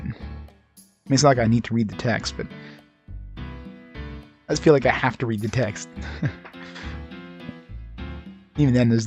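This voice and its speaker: A man's voice: mid-range voice, a little mellow. A man giving casual let's-play commentary, slightly nasal and congested as his voice recovers, rambling with chuckles and fillers. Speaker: game streamer.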